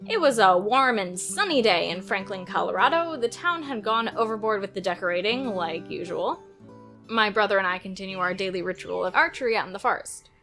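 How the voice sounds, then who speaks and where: Woman acting as teenage girl, bright voice, sardonic younger sister, expressive audio drama delivery, music behind. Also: mid-range voice, fairly quick. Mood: reflective. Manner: sarcastic, expressive. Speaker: narrator and lead character. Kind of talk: first-person audio drama narration and dialogue.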